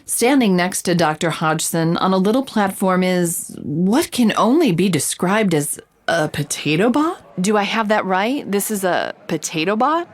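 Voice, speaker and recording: A woman's voice: medium pitch, animated, unhurried. A woman playing a curious roving reporter, narrating events as a scripted, vivid audio drama report. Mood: engaged, wry.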